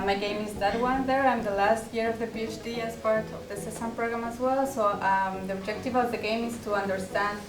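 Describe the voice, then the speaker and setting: A woman from Ecuador, a geographer and doctoral student, introducing her research game in a matter-of-fact way. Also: mid-range voice. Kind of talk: interview. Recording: roomy, distant mic. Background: background music.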